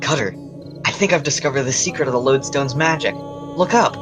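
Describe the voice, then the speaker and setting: A man's voice: mid-range voice, a little fast, natural voice. A man playing a young elf stargazer, a loyal and curious friend, speaking earnestly in a dramatic comic dub. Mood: awed. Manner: expressive, earnest. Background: loud music.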